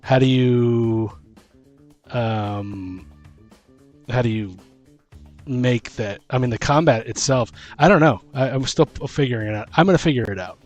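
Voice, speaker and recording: A man co-hosting a casual chat about tabletop role-playing as a game master, thinking aloud in a halting, rambling way. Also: medium pitch, faint music behind. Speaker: podcast host and game master.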